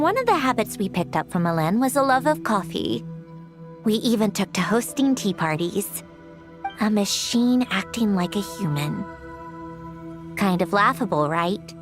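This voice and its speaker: An actress playing an aloof, literal-minded young female android in a video game, precise and a little haughty, with a wistful streak. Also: medium pitch. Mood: wistful, self-mocking.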